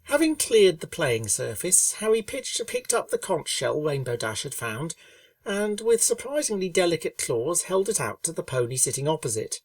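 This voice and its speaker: Woman reading a story aloud, low gravelly voice, dry sound, mic bit far. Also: wildly swooping pitch, normal speed, a little mellow, no echo. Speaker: reader. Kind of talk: storybook narration.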